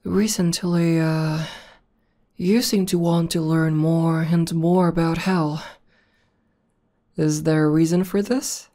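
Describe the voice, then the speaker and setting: A woman acts out a battle-hardened tomboy demoness who is devoted to her partner. Her voice is very low and warm, with a dark, soft edge. She talks slowly and tenderly, with halting pauses, in the close style of an ASMR girlfriend roleplay. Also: steady tone. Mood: tender, affectionate. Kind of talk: intimate ASMR roleplay acting.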